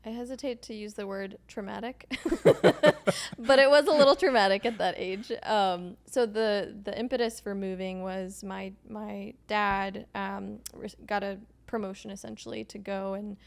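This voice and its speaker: A young woman from Sweden, speaking as a guest in a relaxed interview. Her voice has a gravelly, fry-edged texture at a middle pitch. She talks hesitantly, with plenty of ums and likes and frequent soft laughs. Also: unhurried. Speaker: podcast guest. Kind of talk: casual conversational interview.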